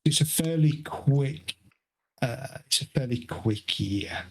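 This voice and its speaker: A man running a tabletop game as game master over an online call, explaining rules in a measured way with pauses and fillers. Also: mid-range voice, expressive, gravelly, breathy edge.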